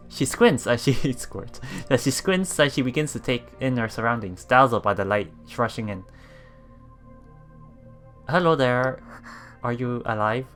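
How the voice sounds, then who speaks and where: A game streamer reads narration aloud at a slow, halting pace and reacts casually. The voice sits at a medium-low pitch, over faint game music. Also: a bit bright. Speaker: let's play streamer.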